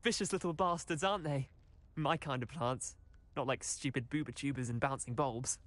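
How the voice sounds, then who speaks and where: An actor playing a cheeky, eager teenage wizard schoolboy with a British accent and chatty, cocky manner in a video game. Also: male voice, medium pitch, normal speed, fairly bright tone, natural voice. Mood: cheeky, keen. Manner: chatty, boyish, colloquial, cocky. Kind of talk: video game character acting.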